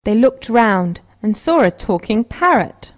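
A woman's voice: on the high side, sing-song, a little slow. A woman with an American accent narrating a scripted children's story.

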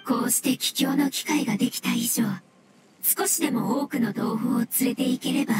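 A woman voicing a gentle, forgetful fungus spirit in a video game, speaking softly, slowly and politely with solemn selflessness. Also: sits high, very noisy, lightly acted. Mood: quietly hopeful. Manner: soft, slow, polite, breathy at times. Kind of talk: scripted video game dialogue. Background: loud game music.

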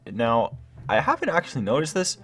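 Young man, very animated gaming host. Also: medium pitch, brisk. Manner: excitable, rambling, chatty. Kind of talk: casual gameplay commentary. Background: background music.

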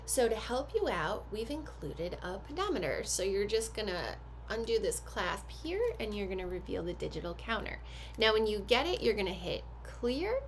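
A woman's voice: medium pitch, a little hiss or hum. An American woman in her thirties talks chattily and instructively to camera, presenting products and addressing the viewer with questions. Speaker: presenter of subscription box unboxing. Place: small indoor home office.

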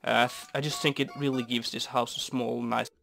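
Young man, raspy from a cold. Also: medium pitch, level tone, normal speed. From Norway. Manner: rambling, easygoing, many fillers. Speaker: Minecraft builder. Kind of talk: casual gameplay commentary. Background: soft guitar music.

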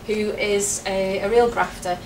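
A woman's voice: medium pitch, fairly even intonation, a little slow. Chatty woman presenting in an echoey room. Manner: chatty, laughs often. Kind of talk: public presentation. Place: wood-panelled meeting room with projector. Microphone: far camera, no mic. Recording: echoey room, distant camera, noisy.

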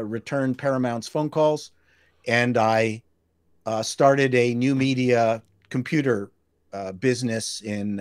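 An older man from Canada tells stories animatedly as a guest, speaking at a medium pitch on a desk mic. The sound is dry and close. He acts out quotes as he goes. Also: no room sound. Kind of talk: casual anecdote telling. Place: home room with bookshelves. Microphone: desk mic on a video call.

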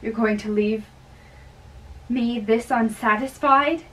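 Woman playing romantic young male lover, slow yearning delivery, stage tragedy, distant mic. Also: a little high, fairly bright tone. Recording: distant mic with background hiss.

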